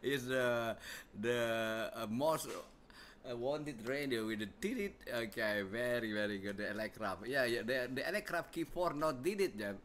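Jovial Indonesian radio ham, bright sharp voice. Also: male voice, medium pitch, lively intonation, normal speed. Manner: jovial, laughs often, repeats phrases. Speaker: amateur radio operator hosting a contact. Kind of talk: casual radio contact. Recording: bright audio with faint radio noise.

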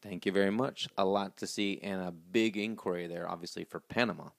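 A man's voice: low voice, steady tone, fairly quick, gravelly, no room sound. A man in his thirties hosting a school video in a small office, upbeat and presenting to camera with a handheld mic. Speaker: school host. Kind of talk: school announcement interview.

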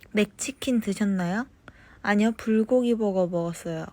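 A young woman chatting casually on a phone livestream, reading fan comments and answering them softly, at times slipping into whispers. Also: medium pitch, no echo. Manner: slow, soft-spoken, drifts into whispers. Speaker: idol livestreaming to fans.

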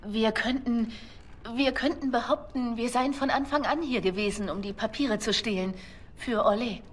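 A woman acting an earnest, higher-voiced young female spy. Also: normal speed, close to an everyday voice. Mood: earnest, uneasy. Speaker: voice actress in a video game. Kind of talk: German fantasy game dubbing.

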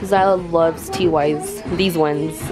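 A woman's voice: low-pitched, steady tone, takes it slowly, music in the background. A young adult woman vlogging casually, chatty and full of fillers, talking straight to her viewers from a car and on a walk outside. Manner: chatty, filler-heavy, talks to viewers and kids. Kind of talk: casual vlog narration. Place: in a car, then outdoors in a village.

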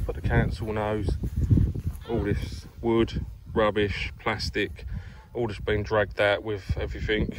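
A man in his thirties with an Essex English accent, chatting casually as he records a vlog. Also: on the low side. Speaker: vlogger, magnet fisher. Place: outdoors on grass, later inside a car. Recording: heavy outdoor and car noise.

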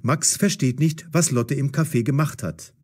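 A man narrating a scripted audio story for language learners in a deliberate, storytelling way. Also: medium pitch.

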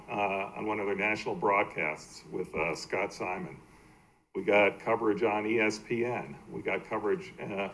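An American man giving a slide presentation at a public meeting as a team executive, halting and plain-spoken. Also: fairly low pitch, slight background noise, low-quality recording. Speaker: baseball team executive presenting to a city council. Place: indoor council meeting room.

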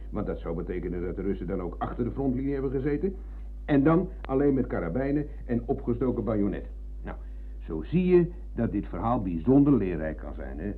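A man's voice: medium pitch, slightly rough. An actor playing a rambling, argumentative older ex-soldier in a radio play, hesitating and digressing as he reminisces. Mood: insistent, argumentative. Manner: digressive, hesitant searching, tag questions. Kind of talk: Dutch radio play acting. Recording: muffled phone-like old radio recording.

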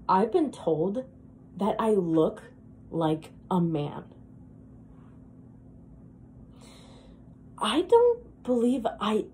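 A woman performing a dramatic monologue as a wistful, self-deprecating woman who longs to be beautiful, speaking in a halting, confessional, emphatic way. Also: lowish voice, a little mellow, slight background noise. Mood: wounded, bitter.

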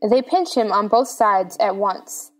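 A woman's voice: mid-range voice, expressive, measured pace, over music. A woman reading stage directions as the narrator, in scripted narration.

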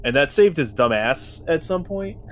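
A man's voice: a little high, big pitch swings, normal speed, a little hiss or hum. A man chatting casually as a tabletop game player, brash, slangy and profane. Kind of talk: casual chat.

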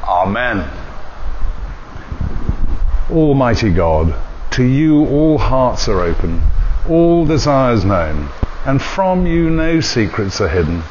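Older priest doing the sunday service, slow solemn reading, dull lo-fi sound w faint hiss. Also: male voice, mid-range voice, very animated, darkish tone. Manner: measured, solemn liturgical delivery. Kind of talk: church service liturgy and gospel reading. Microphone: far camera.

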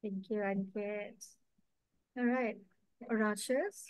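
A woman's voice: mid-range voice, fairly even intonation, takes it slowly, no echo. A woman hosting an online alumni meetup over a video call, chatty and friendly, with many fillers. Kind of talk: casual moderating on video call.

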